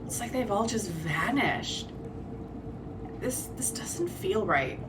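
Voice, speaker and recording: A woman's voice: medium pitch, very animated, takes it slowly, recorded from a distance, noisy background. A woman playing a blunt, caring ally in a tabletop roleplay, speaking expressively.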